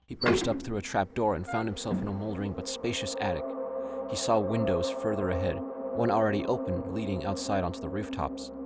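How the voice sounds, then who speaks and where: A man's voice: fairly low pitch, steady tone, brisk. A man narrating a scripted story in a dramatic style, as the narrator of an audio drama. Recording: loud music behind the voice. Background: loud dramatic music.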